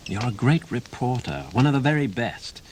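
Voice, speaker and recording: A man's voice: medium pitch, expressive, moderate pace, slight background noise, lightly acted. An actor playing an insistent newspaperman in a radio play, firm and pressing. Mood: persuasive. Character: persuasive, insistent English newspaper heir and friend.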